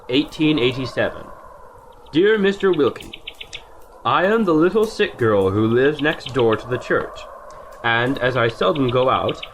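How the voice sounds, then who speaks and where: An actor playing a kindly, affectionate uncle in a family audio drama, speaking gently and with measured care. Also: male voice, mid-range voice, slight background noise. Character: kindly, affectionate uncle doting on his niece.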